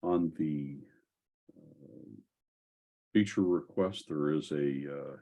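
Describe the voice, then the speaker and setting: Very deep, husky man, halting delivery. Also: dark tone. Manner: halting, thinking aloud. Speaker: host of a software community call. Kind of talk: casual Q&A and tech discussion.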